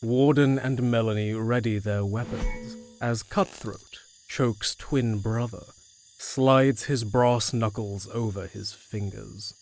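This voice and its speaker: A man's voice: mid-range voice, slow, darkish tone, a little hiss or hum, rough recording. Guy narrating an audio drama, mid voice, scripted storytelling.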